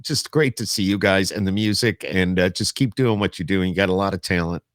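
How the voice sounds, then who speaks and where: A man's voice: low-pitched, fairly quick, dry recording, clean studio sound. A man hosting a podcast, chatting casually as he interviews guests over a remote call. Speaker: podcast host.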